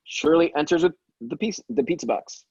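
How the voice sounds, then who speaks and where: A man's voice: fairly high pitch, moderate pace, darkish tone, slightly rough. A man reading stage directions as the narrator of a scripted table read. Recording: dull, slightly lo-fi sound.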